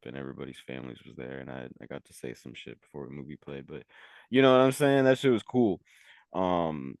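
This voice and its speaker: A man's voice: extremely low pitch, gravelly, darkish tone, no echo, clean studio sound. A young man from Venezuela, a rapper and engineer, chatting casually in an interview, rambling and slangy with lots of "like". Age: young adult. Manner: laid-back, rambling, heavy on "like" and slang.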